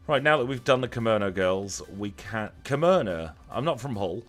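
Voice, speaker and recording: A man's voice: fairly low pitch, lively intonation, normal speed, faint music behind. A man with a Lancashire accent chatting casually as he streams a video game, wry and self-deprecating. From Lancashire, northern England. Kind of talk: casual livestream chat over gameplay.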